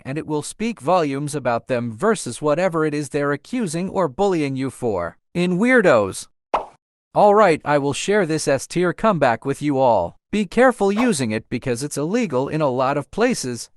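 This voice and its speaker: A man's voice: fairly high pitch, no echo. Dry, close-miked man narrating stories. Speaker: story narrator. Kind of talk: scripted narration of read-out online posts.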